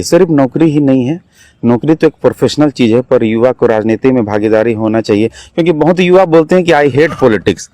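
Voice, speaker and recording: A man's voice: mid-range voice, a little mellow, no echo. A young man from Jharkhand with a Jharkhand Hindi accent, answering interview questions as an election candidate in an earnest, exhorting manner. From Jharkhand, India. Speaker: election candidate, political activist.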